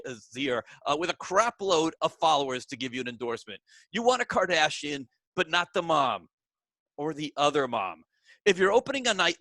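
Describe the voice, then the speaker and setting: A man delivering stand-up one-liners with a lively, swooping delivery. His voice is bright and sits fairly high, recorded dry and close with no room sound. He tosses in fillers and lets the jokes land flatly. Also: no echo. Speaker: stand-up comedian. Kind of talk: online stand-up set. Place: Miami.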